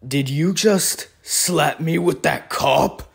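A man voicing a domineering, seductive anime villain, husky and drawn-out, in a comic dub. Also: on the high side, heavily acted. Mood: offended. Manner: slow, commanding, drawn-out. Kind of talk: cartoon comic dub acting.

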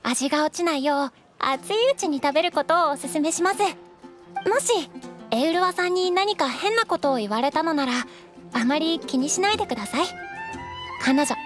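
A kindly young tavern waitress in an anime fantasy game. She has a high voice and speaks in an earnest, measured, courteous way. Faint music plays underneath. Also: expressive. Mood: polite, kindly. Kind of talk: Japanese anime game voice acting.

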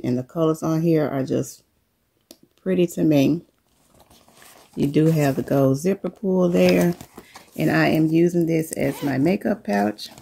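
Low, gravelly woman with a cold. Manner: relaxed, unhurried, addresses viewers as y'all. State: coming down with a cold. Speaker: vlogger. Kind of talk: casual show-and-tell chat. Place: living room, indoors.

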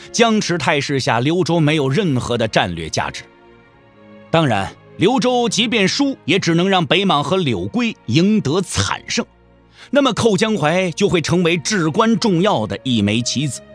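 A man's voice: fairly high pitch, big pitch swings, measured pace, soft background music. A man narrating a martial-arts audio drama in a dramatic, scripted storytelling style.

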